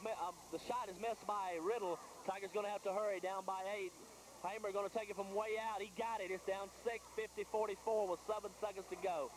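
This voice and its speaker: Guy doing play by play for high school hoops, pretty high voice, short clipped calls, lots of noise on the old tape. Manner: clipped phrases, calling the action as it happens. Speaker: play-by-play radio sports announcer. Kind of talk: live high school basketball commentary. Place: high school gymnasium during a game. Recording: noisy 1980s VHS tape of gym broadcast.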